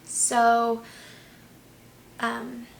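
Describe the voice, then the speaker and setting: Gravelly-voiced young woman, casual and chatty. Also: a little high, completely flat delivery, extremely slow, a little hiss or hum. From United States. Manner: candid, chatty, many "like"s and "um"s. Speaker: vlogger. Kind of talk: casual personal storytelling.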